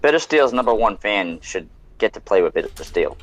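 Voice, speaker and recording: A man playing and chatting on a gaming stream, excitable and teasing, laughing often. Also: on the low side, normal speed, muffled recording. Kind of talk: casual gaming chat.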